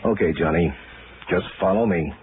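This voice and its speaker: A man's voice: sits low, moderate pace, narrow, tinny audio, close to an everyday voice. An American man playing a weary, easygoing police detective who grumbles colloquially in a 1960s radio drama. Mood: skeptical. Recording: thin, faintly noisy old radio recording.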